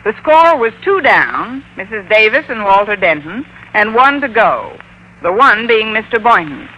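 An American actress playing a wry, long-suffering schoolteacher with dry, sardonic delivery in an old-time radio comedy. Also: female voice, mid-range voice, big pitch swings, moderate pace, sharp tone, poor audio. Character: wry, wisecracking adult woman schoolteacher, lovelorn and long-suffering. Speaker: lead actress and narrator. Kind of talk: 1940s-50s radio comedy acting. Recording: vintage radio recording with faint noise.

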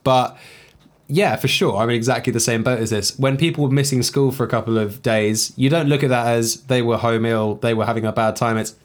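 Regular guy on a podcast, relaxed, mid pitch, kinda earnest. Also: brisk. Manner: relaxed, earnest storytelling with fillers. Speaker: podcast co-host reading listener posts. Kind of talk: casual chat.

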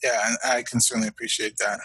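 A Canadian man and father of young children, talking as a guest in a reflective interview, measured and halting, often repeating words as he thinks. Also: medium pitch, little pitch movement, bright, forceful tone, slightly rough, no room sound.

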